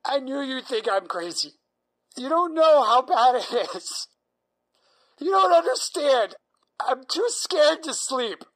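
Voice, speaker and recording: A woman playing an exhausted, terrified insomniac patient in an audio drama, halting, anxious and pleading. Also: high voice, very animated, fairly bright tone, heavily acted, low-quality recording. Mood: desperate.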